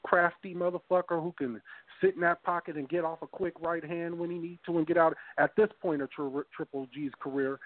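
A man's voice: a little high, no room sound. A man in his thirties co-hosting a podcast in loose, rambling casual talk, swearing freely and restarting his sentences. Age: 30s. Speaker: podcast co-host. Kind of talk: casual boxing chat. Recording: tinny phone-line sound.

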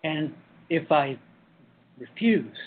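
A man acts a young, reluctant club initiate in a radio drama, frightened yet defiant. His fairly high voice comes slowly through thin, phone-like audio. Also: expressive. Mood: fearful, defiant. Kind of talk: radio play acting.

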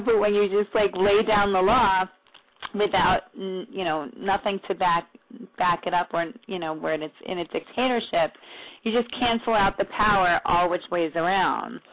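A woman with a fairly low voice chats loosely on a podcast, trailing off and laughing at her own slips. The audio is narrow and tinny. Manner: rambling, chatty, laughs at herself. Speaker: podcast co-host. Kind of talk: casual podcast chat. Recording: tinny phone-like line.

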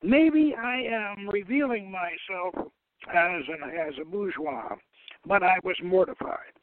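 A phone-quality recording of an older man hosting a radio talk show. His voice sits a little high and he speaks deliberately, often doubling back on a word. The sound is dry, with no room around it. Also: no echo. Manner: halting, deliberate delivery with repeated words. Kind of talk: reading a written commentary and chatting about it on a talk show. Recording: tinny, phone-like internet radio line.

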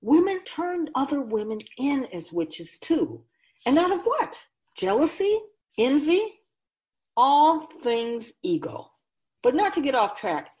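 A woman's voice: a little high, a little mellow. A woman playing a wise, grave otherworldly keeper of souls in a radio drama, speaking in an oracular, instructive and emphatic way.

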